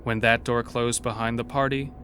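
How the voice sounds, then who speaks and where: A man giving scripted, suspenseful narration for a horror audio drama, telling the story in a measured way. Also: mid-range voice, little pitch movement, background noise, lightly acted. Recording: clear music bed behind.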